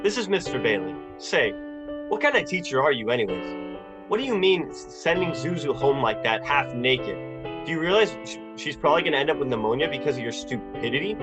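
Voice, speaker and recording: A man's voice: medium pitch, sing-song, fairly quick, music in the background, close to an everyday voice. A man playing a short-tempered, desperate family man in a radio play, agitated and stammering. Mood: furious. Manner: agitated, stammering, repeating phrases. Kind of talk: radio drama acting.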